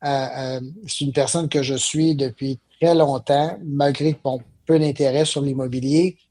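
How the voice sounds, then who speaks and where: A man's voice: mid-range voice, steady tone, moderate pace, warm tone. A middle-aged man with a Quebec French accent, chatting as an interview guest in hesitant, reflective phrases. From South Shore of Montreal, Quebec. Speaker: podcast guest, business networking company president. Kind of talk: casual interview chat.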